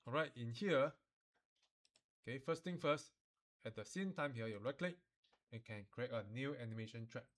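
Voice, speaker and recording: Male software instructor, Malaysian or Singaporean accent, mid-pitched voice, informal step-by-step delivery with colloquial particles. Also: normal speed, long pauses. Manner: colloquial phrasing with sentence-final particles like lah and lor.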